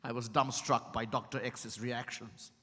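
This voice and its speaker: An actor playing a dramatic male storyteller, narrating a literary piece in a theatrical, deliberate manner. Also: medium pitch, little pitch movement, pushed and bright. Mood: suspenseful, descriptive. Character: dramatic male storyteller recounting a strange encounter. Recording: echoing hall with music behind. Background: music.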